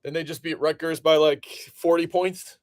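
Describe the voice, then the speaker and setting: Male livestream break host, slightly high voice, dry close sound, chatty with lots of fillers, voice a bit off. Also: steady tone, moderate pace, no echo. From Illinois. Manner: chatty, greets viewers by name, frequent fillers. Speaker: live card break host. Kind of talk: casual livestream chat.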